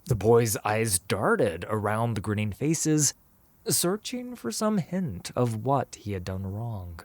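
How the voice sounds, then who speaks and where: A man's voice: fairly low pitch, big pitch swings, slow pace, no room sound. A man narrating a scripted fantasy story as a storyteller.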